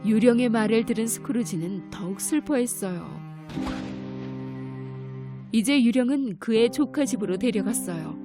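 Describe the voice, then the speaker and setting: A woman narrating a children's fairy tale in a gentle, clear storytelling manner. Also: on the high side, normal speed, pauses often. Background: music.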